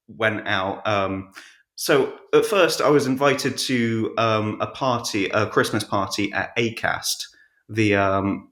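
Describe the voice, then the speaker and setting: A man telling stories casually as a podcast guest, in a halting, rambling way. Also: on the low side, a bit bright. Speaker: podcast guest, audiobook narrator. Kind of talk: casual conversational storytelling. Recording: roomy, echoey sound.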